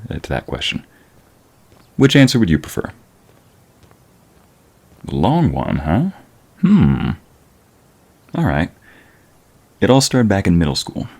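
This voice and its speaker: A man acts out a bashful young concert musician for an ASMR romance. The voice is very low and swings widely in pitch. He is courteous and smitten, with a formal, halting politeness. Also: darkish tone, breathy edge, heavily acted. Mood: curious, friendly, then fond storytelling. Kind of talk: ASMR romantic roleplay audio drama.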